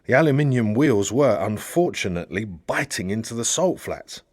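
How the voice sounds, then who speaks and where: A man reading a satirical novel aloud as a narrator, with dramatic, expressive storytelling. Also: lowish voice, normal speed, no room sound, clean studio sound. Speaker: audiobook narrator.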